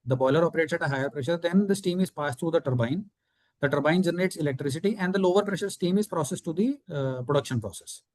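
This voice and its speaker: A man's voice: a little high, fast talker, darkish tone, dry recording. A man with an Indian accent, a company executive giving formal, methodical answers on an earnings call.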